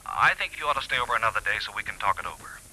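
A man's voice: lowish voice, lively intonation, rapid-fire, bright, forceful tone, full character voice. A man acting a cautious, hesitant family confidant on the telephone in a 1950s radio drama. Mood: apologetic, uneasy. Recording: 1950s radio, phone-call voice.

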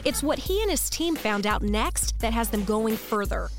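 A woman anchoring a news program, reading headlines in a crisp, scripted way. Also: on the high side, animated, normal speed, over music.

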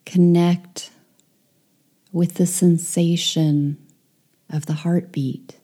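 A woman leading a guided meditation, speaking softly and soothingly, with some phrases whispered. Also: on the low side, little pitch movement, very slow, husky.

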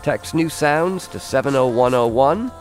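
A man hosting a music interview on the radio, speaking conversationally with halting, repeated phrasing. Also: mid-range voice, expressive. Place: radio studio.

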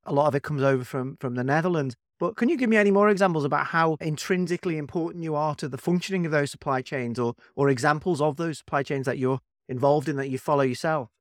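A man's voice: fairly high pitch, quick pace, hardly any pauses, dry recording, studio quality. A man hosting an interview, asking conversational, probing questions. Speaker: podcast host, interviewer.

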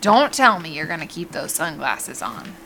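A woman's voice: sits low, very animated, normal speed, vocal fry, sharp tone, a little hiss or hum, full character voice. A woman playing a brassy, teasing, folksy diner waitress in an audio drama, familiar in manner. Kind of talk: naturalistic audio drama acting.

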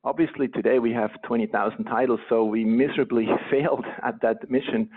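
A man's voice: mid-range voice, fairly even intonation, a little fast, slightly rough. Swiss-accented man on a phone line. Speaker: guest, company co-founder. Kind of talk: casual interview answers.

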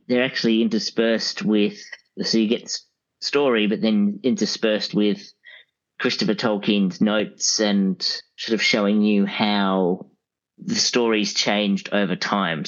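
A man's voice: fairly low pitch, highs cut off. A man speaking as a guest in a casual interview, hesitant and mild, over call audio. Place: remote call.

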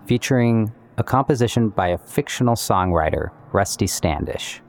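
A man's voice: fairly low pitch, lively intonation, moderate pace, a little mellow. A man presenting as a composer and musician, explaining his work in scripted but conversational podcast narration. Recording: music under the voice. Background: guitar and plucked string music.

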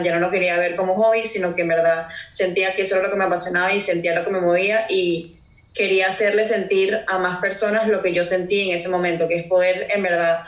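A Venezuelan woman in her twenties with a Venezuelan accent, a podcast guest talking casually with friendly fillers. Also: lowish voice. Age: 20s. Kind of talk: casual interview talk. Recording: roomy echo.

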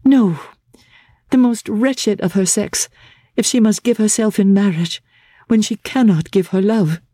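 A woman voicing a young, wretched but resolute gentlewoman in a storybook, earnest and impassioned. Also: mid-range voice, measured pace, no room sound, clean studio sound, pitched above the performer's own voice, lightly acted. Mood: anguished, defiant. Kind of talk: dramatic audiobook character reading.

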